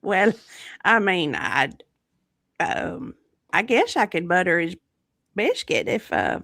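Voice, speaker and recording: A woman's voice: on the low side, sing-song, slow, creaky, a bit bright, dry recording, clean studio sound. A woman playing a folksy grandma who hosts a chat show, talking in a hesitant drawl. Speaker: host. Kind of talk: improvised comedy chat in character.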